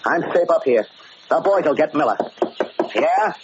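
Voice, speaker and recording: An actor playing a paranoid, bullying gangster in a gruff, slangy, commanding way, in 1940s radio drama style. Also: male voice, fairly high pitch, lively intonation, normal speed, lightly acted. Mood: wary, edgy. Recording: noisy, muffled old-time radio recording.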